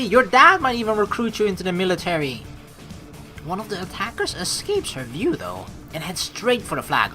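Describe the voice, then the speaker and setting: A woman streamer reading a game's narration aloud, mixed with casual, expressive commentary. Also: mid-range voice. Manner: expressive, animated asides. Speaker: let's play streamer.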